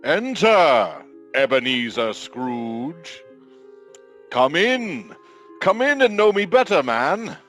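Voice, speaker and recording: Radio play spirit: grand and hearty, slow, highish voice with sweeping intonation, soft music behind. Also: male voice, sing-song, heavily acted. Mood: jovial. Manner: declamatory, grandiose. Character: hearty, grand male Ghost of Christmas Present.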